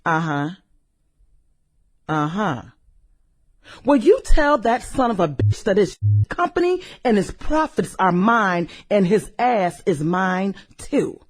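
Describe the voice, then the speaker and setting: An actress plays a manipulative, sultry businesswoman in a satirical radio drama. Her voice is husky and breathy, with a slow, drawling pace. She is theatrical and coquettish, and her pitch slides up and down freely. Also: sing-song. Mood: bossy, angry. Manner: theatrical, coquettish, drawn-out exclamations and giggles. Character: sultry, demanding, manipulative businesswoman juggling rich lovers.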